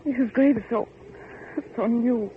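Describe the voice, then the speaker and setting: An actress playing a distraught, disbelieving young heiress in a vintage radio horror drama, with halting, theatrical delivery. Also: female voice, fairly high pitch. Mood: anxious, bewildered. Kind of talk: 1930s-40s radio horror drama acting. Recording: muffled, noisy old radio recording.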